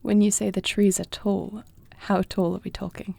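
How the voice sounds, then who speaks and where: A woman playing a compassionate, self-doubting young dragon queen in a fantasy audio roleplay, speaking formally, earnestly and with soft intimacy. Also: mid-range voice, little pitch movement, moderate pace, creaky, no echo. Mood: curious, warm.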